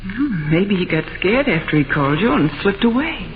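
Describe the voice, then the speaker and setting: An actress playing a detective's jittery, inquisitive assistant in an old-time radio drama, with an expressive, questioning delivery. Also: female voice, mid-range voice, moderate pace, lightly acted. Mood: nervous. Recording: tinny, noisy vintage radio recording.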